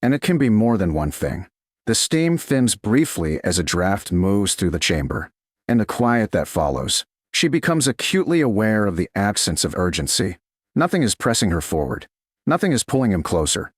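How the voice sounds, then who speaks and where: A man reading a slow-burn romance story aloud in a slow, hushed, deliberate manner. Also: lowish voice, big pitch swings, no room sound, studio quality. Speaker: narrator. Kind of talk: scripted romance narration.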